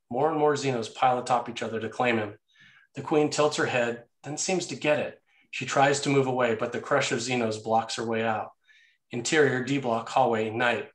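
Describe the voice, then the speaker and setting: Echoey man narrating a screenplay. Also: medium pitch. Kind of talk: scripted narration of screenplay action. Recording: echoey room sound.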